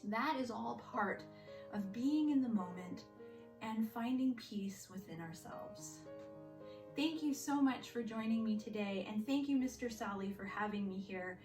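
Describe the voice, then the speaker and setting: A woman teaching yoga and mindfulness to children, giving gentle, reassuring step-by-step instructions. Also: on the high side, lively intonation, unhurried. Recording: roomy echo, distant mic, music behind.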